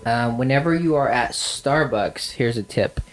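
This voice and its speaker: A man's voice: mid-range voice, lively intonation, a little slow, a little hiss or hum. Nasal guy on a podcast, rambly and sarcastic, lots of ums. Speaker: podcast co-host. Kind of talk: casual chat.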